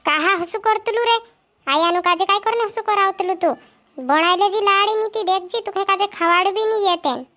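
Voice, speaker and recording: A woman's voice: extremely high pitch, fairly quick, sharp tone. A woman playing a scolding village mother in a cartoon comedy, nagging and chiding her son.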